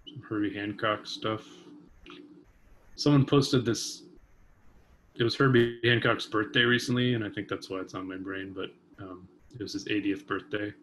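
Lowish voiced guy on a video call from his kitchen, muses a lot, lots of likes and ums, bit of room hum. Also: steady tone, normal speed, fairly bright tone, poor audio. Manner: thoughtful, hedging, many fillers. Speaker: vibraphonist and composer guest. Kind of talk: casual conversation. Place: home kitchen. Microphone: video call webcam.

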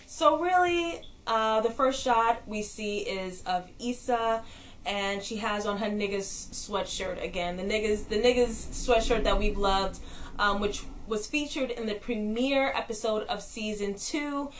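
A woman's voice: mid-range voice, unhurried, a little hiss or hum, low-quality recording. A young woman with a stuffed-up nasal voice from a cold, chatting casually and slangily as she reviews a show on her vlog. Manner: chatty, slangy, animated, laughs often. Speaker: vlogger, TV reviewer. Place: indoors, in front of a backdrop.

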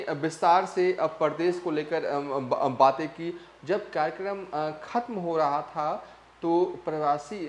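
A young man presenting a news report to camera with a lapel mic in a small studio, pausing often on "uh" fillers. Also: a little high, a little hiss or hum. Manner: halting, many "uh" fillers. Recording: roomy echo.

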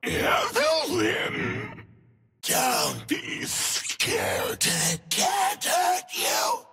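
A man's voice: very high-pitched, huge pitch swings, extremely slow, vocal fry, bright, forceful tone, raised voice, heavily acted. A man voicing a monstrous demon scarecrow in a video game, mimicking terrified victims in distorted, broken, theatrical outbursts. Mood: desperate, haunting. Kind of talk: video game character voice lines. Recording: long cavernous reverb.

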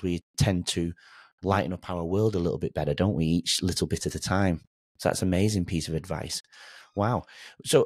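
A man's voice: low voice, a little mellow, no echo, clean studio sound. A man in his forties hosting a conversational podcast interview, speaking in an encouraging, affirming way. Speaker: podcast host. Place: small home studio indoors.

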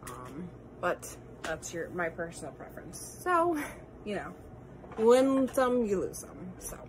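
A woman's voice: lowish voice, big pitch swings, unhurried, background noise. A woman in her thirties chatting through a makeup tutorial in her bedroom, slightly nasal from congestion, rambling with many ums and apologetic asides, filmed on a close phone camera. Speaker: beauty vlogger. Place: bedroom at home, indoors. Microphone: phone camera held close.

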